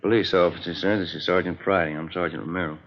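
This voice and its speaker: An actor playing a polite, low-key police detective partner, laconic, in a 1950s radio drama. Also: male voice, fairly even intonation, fast, close to an everyday voice. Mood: low-key, respectful. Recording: dull, noisy old radio recording.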